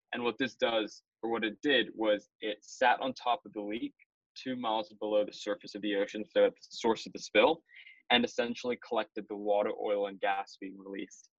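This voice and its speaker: Low-voiced young man, careful explainer. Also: a bit bright, dry recording. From Malaysia. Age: young adult. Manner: explains in long, orderly sentences. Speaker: guest. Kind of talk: podcast interview. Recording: slightly dull, dry sound.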